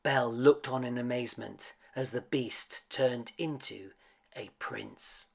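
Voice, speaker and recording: A man's voice: medium pitch, unhurried, gravelly. A man reading a children's picture book aloud as a dramatic storyteller. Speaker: storybook reader.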